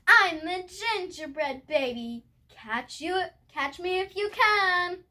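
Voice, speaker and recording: A woman's voice: extremely high pitch, expressive, slow pace, pushed and bright, pitched above the performer's own voice, exaggerated voice. A young girl voicing a cheeky, boastful little gingerbread baby boy in a taunting sing-song for a storybook. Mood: taunting, gleeful.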